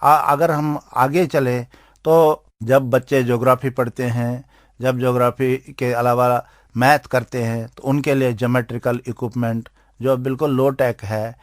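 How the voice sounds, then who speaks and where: A man with an Indian accent giving explanatory interview answers as an expert, speaking in deliberate, halting phrases. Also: mid-range voice, level tone, dry recording, studio quality. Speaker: interviewed expert on aids for blind people.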